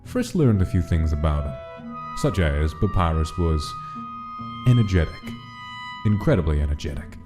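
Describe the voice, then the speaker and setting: A man's voice: a bass voice, very animated, moderate pace, music in the background. A man performing as the narrator of a comic dub, reading a mafia monster tale as scripted dramatic story narration. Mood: measured, ominous.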